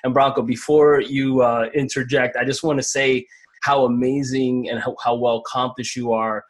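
A man hosting a casual interview, speaking effusively and a little haltingly. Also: mid-range voice, flat delivery. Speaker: interviewer, show host.

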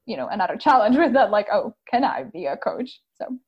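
A woman from the Czech Republic in her thirties, a coach chatting as a podcast guest, bubbly and quick to laugh. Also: a little high, animated, gravelly, breathy edge. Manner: bubbly, laughs often, uses like a lot. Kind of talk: casual interview chat. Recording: muffled lo-fi audio.

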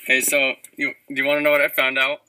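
A man's voice: medium pitch, completely flat delivery, fast, pushed and bright, clean tone. A man making prank phone calls, speaking hesitantly, stammering and repeating his phrases. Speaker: prank caller. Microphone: phone line. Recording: noisy phone call recording.

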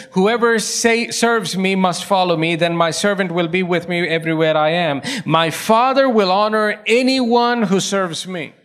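A man's voice: on the high side, a bit bright. A male pastor preaching a sermon, pressing his points through repetition and rising emphasis.

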